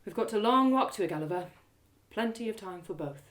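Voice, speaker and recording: Lady doing a tough warrior woman for audio drama, short temper, curt, sharp voice, really animated. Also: medium pitch, fairly quick, close to an everyday voice. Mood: firm, softened. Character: fierce, guarded warrior woman, gruff and hot-tempered. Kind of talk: one-woman audio drama character acting.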